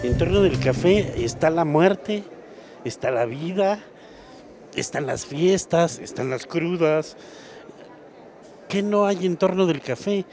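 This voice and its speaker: A man's voice: fairly high pitch, animated, slow pace, long pauses, music in the background. A man in his forties with a Mexican accent, speaking in poetic lists in an interview. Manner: poetic, listing. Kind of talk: spoken interview. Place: indoor expo booth. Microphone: handheld interview microphone.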